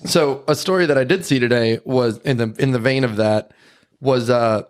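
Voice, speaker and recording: A man co-hosting a podcast, talking casually in halting stops and restarts and chuckling as he goes. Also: medium pitch. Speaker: podcast co-host. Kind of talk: casual chat.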